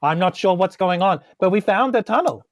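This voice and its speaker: A man, improvising casual role-play in a halting, politely apologetic way. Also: high voice, lively intonation, dry recording, studio quality. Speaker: tabletop game player.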